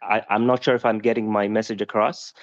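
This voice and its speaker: Brief: male caller, native Arabic speaker, halting delivery full of pauses, muffled dry call audio. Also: lowish voice, level tone, no room sound. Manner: hesitant, searching for words with many fillers. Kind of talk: casual call-in chat. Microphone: video call.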